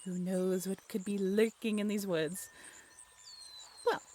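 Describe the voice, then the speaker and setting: A woman's voice: mid-range voice, fairly even intonation, slow pace, mellow, a little hiss or hum, lightly acted. A young woman playing an affectionate, teasing girlfriend in a conversational romantic roleplay audio, bantering in a playful drawl. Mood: delighted surprise. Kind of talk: romantic comfort roleplay audio, conversational one-sided dialogue.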